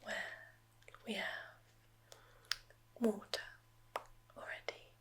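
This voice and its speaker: A woman voicing a soft-spoken, quietly sinister forest witch healer in a whispered ASMR roleplay, with slow, soothing, drawn-out words and murmured hums. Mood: hushed, soothing. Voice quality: whispering, unvoiced.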